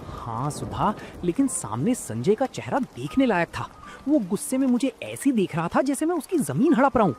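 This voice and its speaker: A woman voicing an honest, humble, good-natured male village food seller in an animated storybook tale. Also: medium pitch, moderate pace, few pauses, close to an everyday voice. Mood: worried. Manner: earnest, conciliatory. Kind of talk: animated Hindi storybook dialogue.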